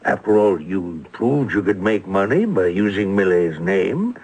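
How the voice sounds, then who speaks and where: A puzzled, testy partner in crime in a radio play. The voice is gravelly and rough, with wide, questioning swings. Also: male voice, low-pitched, very animated, measured pace, darkish tone, exaggerated voice. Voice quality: gravelly, husky. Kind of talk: old-time radio drama acting.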